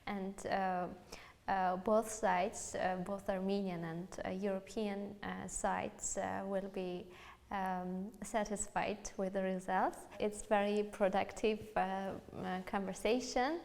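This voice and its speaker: A woman's voice: mid-range voice, little pitch movement, slow pace, gravelly, darkish tone, in a large hall. A young woman interviewer asking questions hesitantly, with frequent ums and uhs. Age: young adult. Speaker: youth interviewer.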